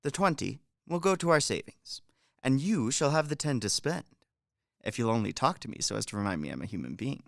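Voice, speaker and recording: Radio drama manservant, played by a man: shrewd and wheedling, mid-pitched with big melodic swings, fond of instructing. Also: very animated, a little fast, gravelly, dry recording, clean studio sound. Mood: confiding, coaxing. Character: shrewd male Bulgarian manservant, scheming, self-important, lecturing. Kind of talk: radio play stage acting.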